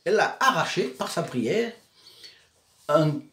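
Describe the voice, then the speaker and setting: A man's voice: fairly high pitch, big pitch swings, takes it slowly, a bit bright. A man with a Provençal accent preaching and retelling a story, digressive and hesitant, often repeating words. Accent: Provençal southern French. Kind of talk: sermon storytelling. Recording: echoey room.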